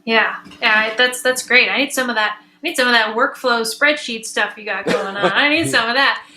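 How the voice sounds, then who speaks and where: A woman's voice: fairly high pitch, moderate pace, reverberant, background noise. An American woman speaking informally and chattily in a conversational panel discussion. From South Central New Mexico, United States. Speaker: audio drama creator on a panel.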